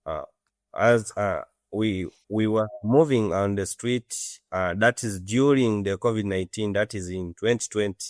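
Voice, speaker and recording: Low-voiced Ugandan man in his thirties. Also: a little slow, no room sound, clean studio sound. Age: 30s. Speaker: interview guest, orphanage director. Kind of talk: conversational interview answers.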